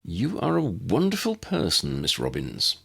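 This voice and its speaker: A courtly gentleman wizard played by a male narrator speaks with florid formality in a storybook. His husky voice rises and falls grandly. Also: low-pitched, huge pitch swings, measured pace, full character voice. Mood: gracious.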